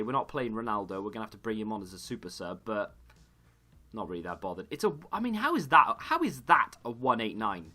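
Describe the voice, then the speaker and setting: A man, slightly hoarse, rambling through casual gaming commentary and correcting himself as he goes. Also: mid-range voice, bright, forceful tone. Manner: rambling, self-correcting chatter. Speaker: gaming YouTuber.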